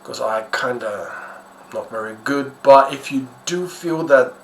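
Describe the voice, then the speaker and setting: A man's voice: medium pitch, unhurried. Man, Ukrainian accent, casual YouTube commentary, hesitant and self-deprecating, roomy echo, faint background noise. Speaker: YouTuber host. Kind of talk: casual unscripted commentary over a map.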